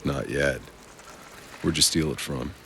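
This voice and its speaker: A grizzled soldier from a video game, blunt and sparing with words. The voice sits extremely low and crackles with gravel. Faint rain and ambience run behind it. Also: male voice, a little slow, fairly bright tone, full character voice. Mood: grim, terse. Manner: terse, blunt, swearing. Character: grizzled veteran outrider soldier, gruff male survivor. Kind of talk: video game cutscene acting.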